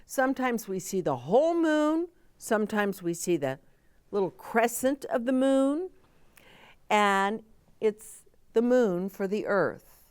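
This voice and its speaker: Animated, unhurried woman reading storytime. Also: medium pitch, smooth, no echo. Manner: expressive, simple explaining as if to young children. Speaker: children's storytime reader. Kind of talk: read-aloud storytelling with chatty asides.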